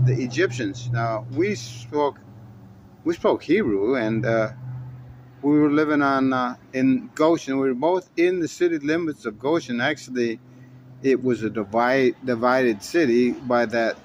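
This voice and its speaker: Slow, folksy man spinning a rambling tale. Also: medium pitch, highs cut off, a little hiss or hum. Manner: folksy, meandering, frequent restarts and self-corrections. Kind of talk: rambling first-person storytelling. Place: in his shop.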